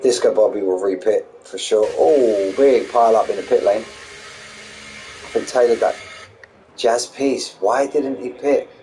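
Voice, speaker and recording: A young man with a hoarse, fading voice, calling an online sim race live with quick, excitable play-by-play and chatty asides. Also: medium pitch. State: losing his voice, hoarse. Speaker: sim racing league commentator. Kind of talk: live race commentary streamed. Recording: noisy streamed audio.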